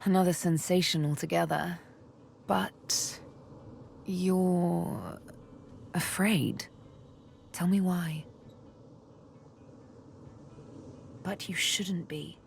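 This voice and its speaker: An actress voicing a seductive, menacing elven assassin woman in a fantasy game, intimate and teasing, with breathy, deliberate delivery. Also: on the low side, fairly even intonation, slight background noise. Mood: menacing, coaxing. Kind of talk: dramatic fantasy game voice acting.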